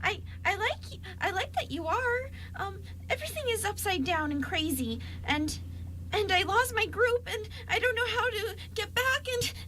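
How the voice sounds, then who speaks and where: Tiny, squeaky, frightened fairy. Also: female voice, animated, normal speed, pushed and bright, slight background noise, heavily acted. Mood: tearful, confused. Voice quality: small, high-pitched voice. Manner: stammering, tearful, hesitant. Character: tiny frightened female fairy, timid and tender. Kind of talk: amateur radio play acting.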